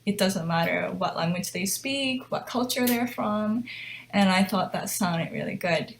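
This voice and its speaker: Woman, middle-aged, from Japan, interview guest, reflective storytelling, light laughs, medium pitch. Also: measured pace, a little hiss or hum. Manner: reflective, laughs between thoughts. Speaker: guest pianist.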